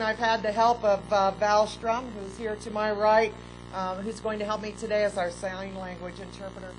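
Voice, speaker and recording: A woman park superintendent giving a formal, measured welcome speech at an outdoor ceremony, speaking into a podium microphone with occasional fillers. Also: medium pitch, background noise.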